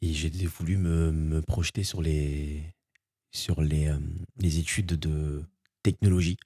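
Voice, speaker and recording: A man's voice: very deep voice, steady tone, a little slow, warm tone, no room sound, studio quality. A young man from French Guiana, a podcast guest talking casually and hesitantly with many fillers. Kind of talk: casual interview chat.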